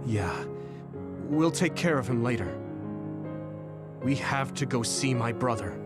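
A man voices a determined young soldier with a steady, mid-pitched voice. He speaks earnestly and plainly. Game music runs under him. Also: measured pace, natural voice. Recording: game cutscene with music behind.

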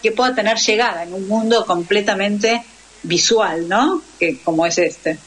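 A woman's voice: mid-range voice, measured pace, breathy edge, rough recording. A woman with an Argentine accent talking in a radio interview as a guest who teaches radio drama. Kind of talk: interview conversation.

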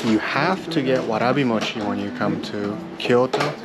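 A man's voice: medium pitch, moderate pace, over loud music. A man in his thirties vlogging restaurant meals at arm's length, upbeat and savoring each bite, calling out to his viewers. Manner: enthusiastic, keeps saying "guys", savoring with hums. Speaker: food vlogger. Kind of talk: casual food vlog narration. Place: restaurants in Kyoto, indoors and riverside outdoors. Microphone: handheld camera at arm's length.

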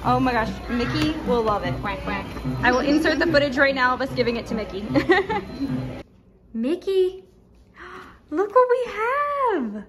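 A woman's voice: sits high, big pitch swings, measured pace. A young woman filming a casual, chatty travel vlog on a handheld phone, gushing over shops and places. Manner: bubbly and chatty, lots of gushing. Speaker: vlogger. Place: outdoors and in shops at a beach town, later in a car. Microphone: handheld phone camera.

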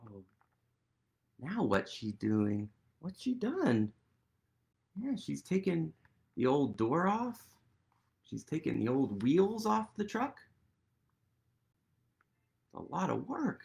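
Man in his thirties, children's storytime reader, very lively intonation, creaky voice, gentle questioning manner. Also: fairly high pitch. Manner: gentle, expressive, pausing, questioning. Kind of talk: picture-book read-aloud with questions to young children.

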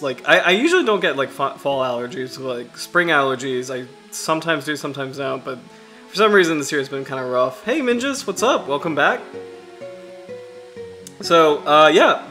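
A man in his thirties from the US Chesapeake Bay region, hosting a casual livestream in a halting, self-deprecating way, his throat slightly raspy from allergies. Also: on the high side, bright, forceful tone. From United States, Chesapeake Bay region. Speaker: livestream host.

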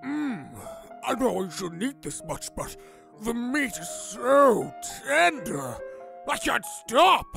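A man playing an excitable middle-aged gentleman father in an anime parody, with exaggerated, drawn-out delivery. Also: very high voice, creaky, music in the background, full character voice. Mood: gluttonous delight.

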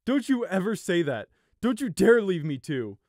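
A man's voice: high-pitched, very animated, normal speed, a little mellow, dry recording, clean studio sound, pitched above the performer's own voice. A man voicing a big young male sheep in a story, fierce and grief-stricken, roaring and growling through choked sobs. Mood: furious, desperate. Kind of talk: storybook character acting.

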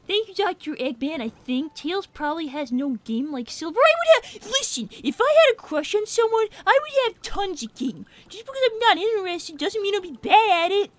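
A woman's voice: very high-pitched, lively intonation, moderate pace, few pauses, no room sound. A woman voicing a young, brainy cartoon fox boy who chats in a sassy, self-assured way as a gaming livestream host. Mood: defensive, assured. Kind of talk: in-character gaming livestream chat. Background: game music.

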